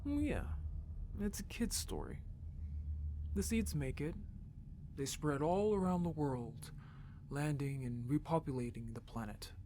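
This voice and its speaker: A man voicing an earnest young rebel in an audio drama, who speaks softly when telling a story and gets urgent when worried. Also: on the high side, lively intonation, a little slow, pauses often, slight background noise. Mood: reassuring. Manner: soft storytelling, turns urgent and upset.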